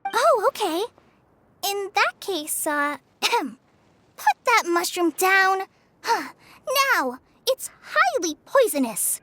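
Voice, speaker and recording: A woman's voice: very high voice, big pitch swings, slow, bright, forceful tone, no echo, full character voice. A woman voicing an earnest, shy teenage girl apprentice guide in a game, polite and hesitant. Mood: nervous, earnest. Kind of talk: game voice acting.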